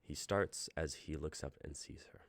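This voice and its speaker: A man's voice: very deep voice, moderate pace. A man reading out the stage directions of a script he wrote.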